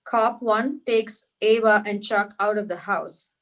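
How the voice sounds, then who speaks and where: A woman's voice: medium pitch, takes it slowly. A woman narrating stage directions at a script table read.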